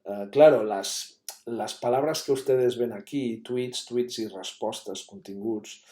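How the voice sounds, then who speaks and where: A man's voice: mid-range voice, slow, mellow. A man giving an academic conference talk, explaining steadily with many fillers and tag questions. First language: Catalan. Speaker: university lecturer. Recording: echoey room.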